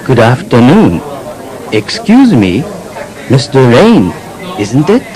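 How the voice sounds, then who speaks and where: An actor playing a friendly sales manager in a scripted textbook dialogue, speaking clearly. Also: male voice, mid-range voice, huge pitch swings, slow pace, darkish tone, some chatter behind, rough recording. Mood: polite.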